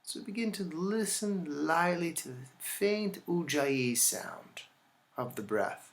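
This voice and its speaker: A man teaching yoga nidra, leading a guided relaxation in a calm, instructional manner with deliberate pauses. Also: a little high.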